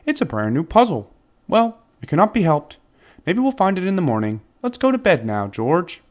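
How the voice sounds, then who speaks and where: A man voicing a kindly, patient guardian of a little monkey in a children's storybook, gentle and fatherly. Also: sits high, wildly swooping pitch, normal speed, voice pushed up. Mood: gentle, reassuring.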